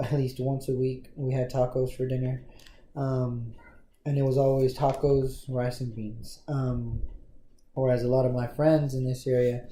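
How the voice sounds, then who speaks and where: A man's voice: medium pitch, dark tone. A young man from the Panhandle, answering interview questions with many ums and uhs. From Texas Panhandle, USA. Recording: echoey room, faint ambient noise.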